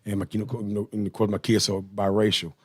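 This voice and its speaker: A man's voice: fairly low pitch, level tone, quick pace, slightly rough. A man hosting a casual chat interview, talking informally and colloquially and often repeating himself. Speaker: podcast host, interviewer.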